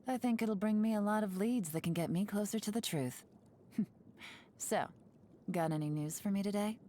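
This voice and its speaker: A woman voicing a sly, laid-back young woman spy for a video game, speaking in a smooth, teasing, unhurried way. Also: mid-range voice, dark tone, slight background noise, close to an everyday voice. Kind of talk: video game character voice lines.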